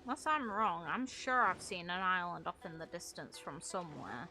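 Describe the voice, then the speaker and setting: A woman's voice: lowish voice, animated, normal speed, bright, forceful tone. A woman giving casual commentary on game lore, thinking aloud in a rambling, musing way. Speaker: gaming video creator and streamer. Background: music.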